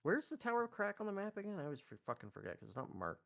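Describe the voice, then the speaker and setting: A man's voice: sits high, wildly swooping pitch, very fast, vocal fry, fairly bright tone. A man playing a tabletop roleplay game, talking casually and hesitantly, with some swearing. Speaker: player.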